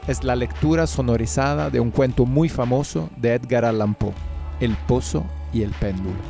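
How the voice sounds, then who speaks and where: Gravelly male radio host. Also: medium pitch, moderate pace, music in the background. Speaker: radio host introducing the episode.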